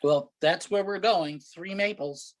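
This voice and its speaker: A man's voice: sits high, a little slow. A man playing an impatient, grumbling New York husband lost in the countryside, in a staged reading of a comic play. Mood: impatient, sarcastic. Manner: sarcastic, grumbling.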